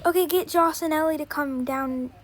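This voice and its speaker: Worried parent, acted by a woman: very high voice, urgent directing manner, toy-app family drama, faint noise. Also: moderate pace, close to an everyday voice. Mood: urgent worry.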